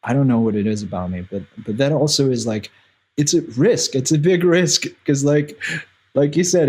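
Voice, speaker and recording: A man's voice: on the low side, darkish tone, husky, low-quality recording. Man chatting loosely. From Eastern Europe. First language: Russian. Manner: rambling, swears freely, frequent restarts. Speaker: guest musician. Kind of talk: casual podcast chat.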